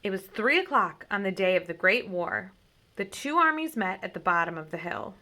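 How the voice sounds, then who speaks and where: A woman reading a children's story aloud in an expressive, deliberate way, like a teacher. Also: mid-range voice.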